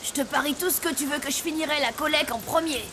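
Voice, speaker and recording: A woman's voice: sits high, quick pace, noisy background, lightly acted. A woman voicing a cheeky, boastful young zombie boy in a cartoon. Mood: competitive. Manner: childlike, boastful. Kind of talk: French cartoon dub acting. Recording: music behind the voice.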